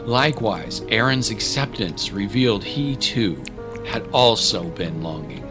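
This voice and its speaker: A man narrating a dramatic scripted story in a measured, solemn manner. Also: lowish voice, animated. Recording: dramatic music bed. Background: music.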